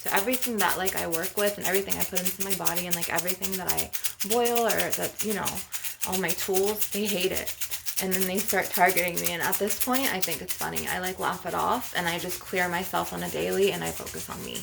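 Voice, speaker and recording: Woman with a creaky, fry-laden voice. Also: on the low side, steady tone, brisk, noisy background. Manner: conversational, emphatic, peppered with swearing and "you know". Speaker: spiritual healer hosting her own video channel. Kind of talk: informal spiritual advice talk in an ASMR session.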